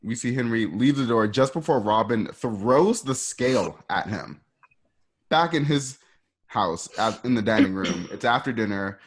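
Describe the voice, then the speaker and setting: A man's voice: fairly low pitch, expressive, unhurried, slightly rough. A man narrating screenplay action for a radio play in an informal, hesitant way, with conversational asides. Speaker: narrator reading stage directions.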